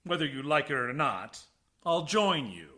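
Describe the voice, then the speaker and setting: A man's voice: fairly high pitch, big pitch swings, unhurried, sharp tone, full character voice. A man acting in a radio play as a stiff, prickly military base commander, stern, clipped and formal in speech. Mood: guarded. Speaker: actor.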